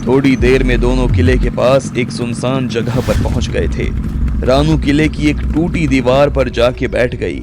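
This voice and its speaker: A man's voice: medium pitch, steady tone, unhurried, very noisy. A man narrating a scripted audio drama story as its storyteller. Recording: music bed under the voice.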